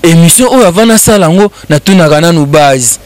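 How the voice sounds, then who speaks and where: A man hosts a casual talk show with a fairly high voice for a man. He rambles along in a chatty, loose manner. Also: lively intonation, brisk. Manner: chatty, rambling, switching languages freely. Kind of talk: casual chat show hosting.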